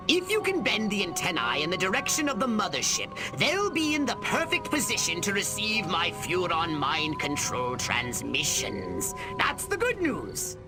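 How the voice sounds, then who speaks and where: Video game alien mastermind: small, scheming, excitable, high-pitched and hugely animated, pompous and theatrical. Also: male voice, unhurried, music in the background, full character voice. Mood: gloating. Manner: pompous, theatrical, ornate wording. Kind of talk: video game cutscene acting.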